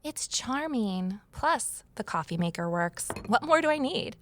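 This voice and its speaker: A woman's voice: a little high, very animated, unhurried, a bit bright, a little hiss or hum. A woman playing a plucky, wry young former journalist in an audio drama, speaking conversationally. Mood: friendly, joking. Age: young adult. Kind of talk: first-person audio drama narration and acting.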